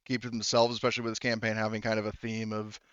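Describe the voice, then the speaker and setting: A man acting as game master of a tabletop roleplaying game, explaining things conversationally in a halting, thinking-aloud way with trailing asides. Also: on the low side, monotone, fairly bright tone, smooth, no room sound, highs cut off.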